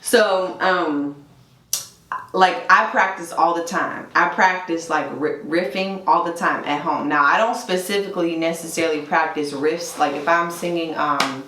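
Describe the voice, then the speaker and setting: A woman's voice: fairly low pitch, measured pace, bright, forceful tone, echoey room, recorded from a distance, a little hiss or hum. A woman guest talking casually about singing, chatty and full of "like". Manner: chatty, laughs easily, lots of "like". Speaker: guest vocalist.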